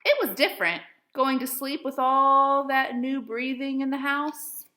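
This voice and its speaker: A woman teacher reading a storybook aloud to children with expressive, deliberate storytelling phrasing. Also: sits high, roomy sound.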